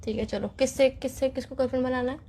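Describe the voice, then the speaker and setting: A woman playing a stubborn young girlfriend in a romantic phone-call drama. Her voice is very high and she talks rapidly. She is argumentative and teasing, cutting in and repeating her points. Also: fairly even intonation, dark tone, a little hiss or hum, lightly acted. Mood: cool, defiant. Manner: argumentative, interrupting, repeats herself.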